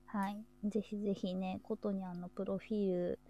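A woman's voice: mid-range voice, level tone, fairly quick, warm tone, dull audio. A woman hosting a live show in casual, unscripted chat.

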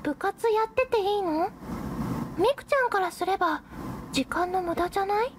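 A woman's voice: extremely high pitch, normal speed, background noise. A woman playing a timid, put-upon teenage schoolgirl in a radio drama, polite and girlish as she talks and narrates. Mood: curious. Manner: girlish, polite first-person narration, hesitant dialogue. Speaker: lead actor and narrator. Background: background music.

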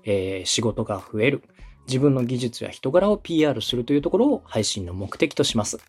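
A man's voice: medium pitch, lively intonation, fairly quick, a little mellow, slightly rough, faint music behind. A man from Osaka hosting a podcast, coaching in a polite, methodical way as he explains things. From Osaka, Japan. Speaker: podcast host and radio director. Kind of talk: structured coaching conversation.

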